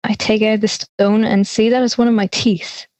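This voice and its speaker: A woman's voice: medium pitch, little pitch movement, moderate pace, a little mellow, no room sound, close to an everyday voice. A young woman acting an anxious schoolgirl in an audio drama, confiding in a nervy storytelling way. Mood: uneasy. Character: anxious schoolgirl confiding her dreams and fears. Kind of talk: audio drama monologue and dialogue.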